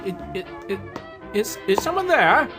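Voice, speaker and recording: A man as a high-voiced, flustered professor. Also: big pitch swings, normal speed, pushed and bright, faint music behind, full character voice. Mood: frightened, indignant. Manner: stammering, panicky protests. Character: flustered, fearful male professor, excitable and indignant. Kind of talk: amateur radio adventure serial acting.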